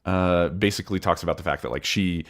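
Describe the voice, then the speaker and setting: Low-voiced male podcast co-host, recovering from illness, laid-back storyteller. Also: fast. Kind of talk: casual chat recapping episodes.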